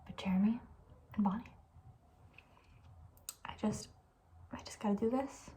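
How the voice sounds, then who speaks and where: Soft-spoken woman in casual ASMR chat. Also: medium pitch, measured pace, a little hiss or hum. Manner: rambling, conversational, playfully opinionated. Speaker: ASMR video host.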